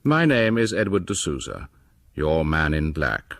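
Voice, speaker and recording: A man hosting a horror anthology, delivering scripted narration in a deliberate, theatrical and ominous manner. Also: extremely low pitch, very animated, gravelly, fairly bright tone, dry recording, clean studio sound.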